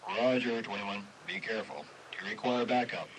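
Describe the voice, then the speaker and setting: A man playing a brisk police radio dispatcher in a dramatised audiobook. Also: fairly low pitch, close to an everyday voice. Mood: businesslike. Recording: very noisy police radio sound.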